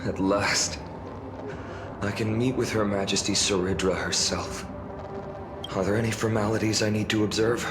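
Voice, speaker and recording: An actor playing a solemn, mysterious wandering swordsman in a video game, speaking gravely, courteously and with deliberation. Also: fairly low pitch, steady tone, moderate pace, pauses often, noisy background, lightly acted. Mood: earnest. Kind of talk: video game voice acting and narration. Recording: echoey, long reverb, music behind.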